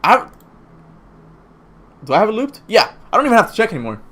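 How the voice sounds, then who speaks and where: A man's voice: high-pitched, very animated, fast talker, sharp tone. Rambling young man answering viewer questions. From Cuba. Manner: rambling, self-deprecating, laughs at own slips. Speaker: gaming channel host answering viewer questions. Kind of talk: casual livestream Q&A chat. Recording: faint music behind.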